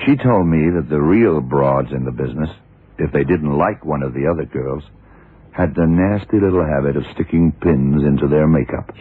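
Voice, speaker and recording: An actor playing a shrewd, worldly, commanding male detective who explains deliberately, in the style of vintage radio drama. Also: extremely low pitch, breathy edge, dry recording. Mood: patient, knowing. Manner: deliberate, hard-boiled explaining. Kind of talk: 1960s-70s radio drama acting. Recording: muffled, narrow-band vintage radio recording.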